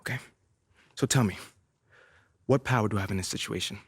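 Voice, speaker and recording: A man playing a frustrated young rookie basketball player in a video game scene, with a husky, conversational, defensive delivery. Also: lowish voice, brisk, no echo, studio quality. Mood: guarded. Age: young adult. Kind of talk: naturalistic video game cutscene acting.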